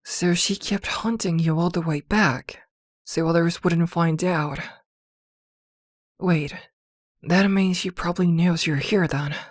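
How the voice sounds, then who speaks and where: A woman playing a possessive, protective witch girlfriend in an intimate ASMR roleplay, with a soft, coaxing murmur. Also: on the low side, fairly even intonation, slow pace, long pauses, no room sound, studio quality. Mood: protective, vengeful.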